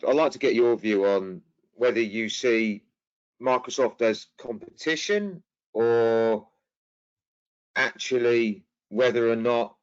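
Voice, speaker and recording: A man's voice: lowish voice, muffled recording. A man hosting a webinar and reading out audience questions, briefly and with some hesitation. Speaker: webinar host.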